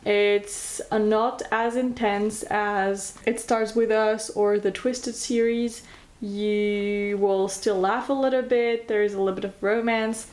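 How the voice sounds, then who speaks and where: A woman's voice: mid-range voice, darkish tone. A woman with a French accent, a native French speaker, casually recommending books and reading back-cover blurbs aloud in an enthusiastic, chatty way. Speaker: book vlogger.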